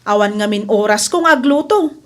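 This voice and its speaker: A woman's voice: mid-range voice, lively intonation, moderate pace, lightly acted. A woman playing a friendly, chatty customer with an Ilocano accent in a radio drama. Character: friendly, chatty female customer of a food seller.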